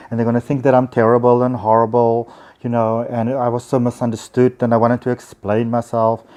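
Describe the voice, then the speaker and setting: Male, South African accent, mid-pitched, effusive and chatty; informal phone vlog monologue addressed to viewers. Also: mellow. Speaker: spiritual healer and teacher. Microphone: handheld phone.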